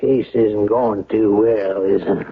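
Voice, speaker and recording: Thin, phone-like old radio sound carries a folksy small-town sheriff. His husky voice moves very slowly, laconic and knowing, in radio drama style. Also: on the low side, fairly even intonation, heavily acted. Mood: wry, confiding. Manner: folksy, unhurried. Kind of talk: radio mystery drama acting. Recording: thin, band-limited old radio broadcast.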